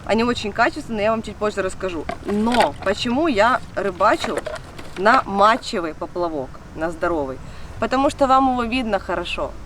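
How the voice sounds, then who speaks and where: Female outdoor vlog host, mid pitch, nasal from a cold, enthusiastic and casual, clear background noise. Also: lively intonation, moderate pace, distant mic. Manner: chatty, enthusiastic. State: congested from a cold. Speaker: fishing channel host. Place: outdoors by a fishing lake.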